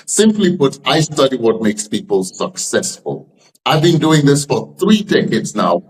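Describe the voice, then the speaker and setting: Male podcast host, middle-aged. Medium pitch, formal and emphatic, clearly structured presenting. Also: rough recording. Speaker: podcast host, leadership scholar. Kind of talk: scripted podcast introduction and interviewing.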